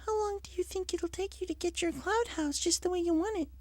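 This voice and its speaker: A man voicing a gentle, timid young female pony in a soft, breathy falsetto for a story reading. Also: a little fast, no echo, studio quality. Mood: gentle, kind.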